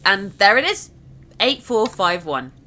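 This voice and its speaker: A woman's voice: medium pitch, sing-song, a little slow, pushed and bright, faint music behind. A woman giving scripted narration over gameplay in a chirpy, guiding way.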